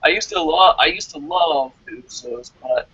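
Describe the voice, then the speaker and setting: A man's voice: fairly high pitch, wildly swooping pitch, normal speed, gravelly, sharp tone, breathy edge, dry recording, highs cut off. A man co-hosting a wrestling review show in casual, opinionated chat, speaking bluntly and with plenty of swearing. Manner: profane, blunt.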